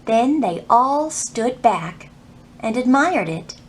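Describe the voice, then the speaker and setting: A woman hosting a children's storytime, reading and teaching in an animated way with careful enunciation for young listeners. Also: fairly high pitch, takes it slowly. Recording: roomy echo, faint background noise.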